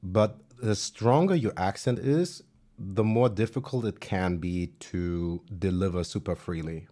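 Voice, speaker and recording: A man with a German accent chatting casually on a music livestream, relaxed and self-deprecating, with plenty of fillers. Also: low-pitched, unhurried.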